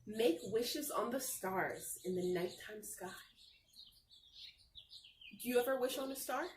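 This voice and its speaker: Woman, children's read-aloud teacher, high voice, encouraging, drawn-out phrases, distant mic with birdsong. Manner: encouraging, simple teacherly talk addressed to children. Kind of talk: children's picture book read-aloud with questions to young listeners. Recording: roomy echo, distant mic, faint noise.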